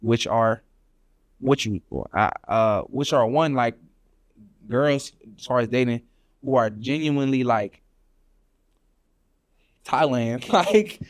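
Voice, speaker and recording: A man's voice: medium pitch, slow pace, long pauses, no echo. A young man from Atlanta, a podcast guest casually telling travel stories, full of slang and "you know what I'm saying". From Atlanta, Georgia. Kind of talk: casual storytelling chat.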